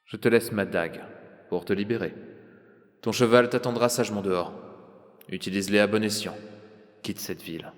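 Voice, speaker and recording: Man acting a wry, low-voiced bold adventurer. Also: moderate pace, pauses often, in a large hall, lightly acted. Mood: solemn, resolute. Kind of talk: audio drama acting.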